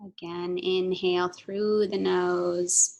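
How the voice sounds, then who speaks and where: A woman yoga instructor giving soothing, measured breathing and movement cues with audible breaths. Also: on the low side, little pitch movement, creaky, a little mellow.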